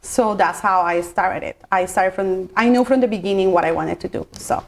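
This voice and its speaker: A woman's voice: medium pitch, moderate pace. Woman, 40s, mid-range voice, interview guest who explains at length. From Colombia. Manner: explanatory, with many fillers and restarts. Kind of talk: interview answers.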